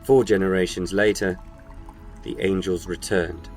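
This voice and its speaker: A man narrating a scripted story as a solemn, measured storyteller. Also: low-pitched, steady tone, soft background music.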